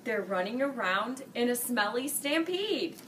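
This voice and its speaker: Woman in her forties, storybook reading, expressive, fairly high voice, mic at a distance. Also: measured pace. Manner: expressive reading, dropping low and soft for older characters. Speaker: school staff reading buddy. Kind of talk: children's picture book read-aloud. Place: small room, seated before a green-screen farm backdrop. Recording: distant mic with faint hiss.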